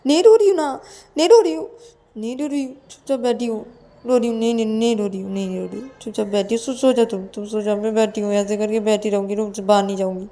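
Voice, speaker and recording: A woman's voice: a little high, fairly even intonation, moderate pace, warm tone, pitched above the performer's own voice, natural voice. A woman voicing a tearful young woman who pleads and apologises in a whiny, repetitive way in an audio story. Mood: whiny, protesting.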